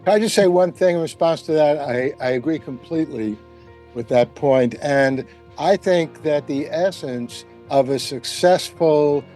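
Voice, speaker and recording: Articulate man with a higher, mellow voice. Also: measured pace, faint music behind. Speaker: panelist in a radio roundtable. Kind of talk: thoughtful, articulate discussion.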